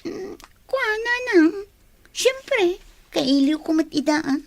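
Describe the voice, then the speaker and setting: A woman's voice: very high voice, sing-song, slow pace, vocal fry, darkish tone, heavily acted. A woman playing an eager, whiny child in a radio drama. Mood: pouting. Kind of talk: Ilocano radio drama acting.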